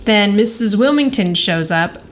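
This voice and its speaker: A woman's voice: mid-range voice, lively intonation, normal speed, a little hiss or hum. A woman co-hosting a podcast, recapping scenes in detail in a casual, conversational way. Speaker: podcast co-host. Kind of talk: casual episode recap chat.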